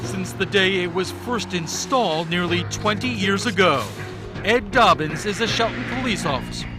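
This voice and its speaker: American male investigative TV reporter, high-set voice, scripted narration over a prominent sound bed. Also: expressive, moderate pace, over music. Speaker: television news reporter.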